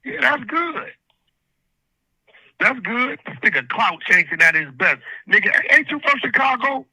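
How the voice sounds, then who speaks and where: A man's voice: very high-pitched, big pitch swings, a little slow, bright, forceful tone, breathy edge, no room sound, rough recording. A man hosting a livestream, arguing aggressively and profanely, repeating his questions over and over. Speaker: livestream host. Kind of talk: heated argument.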